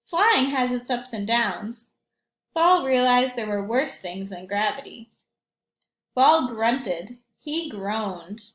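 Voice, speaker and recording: A woman reading a storybook aloud to young children like a teacher, expressively, pausing for simple questions and comments. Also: a little high, expressive, fairly bright tone. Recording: roomy echo.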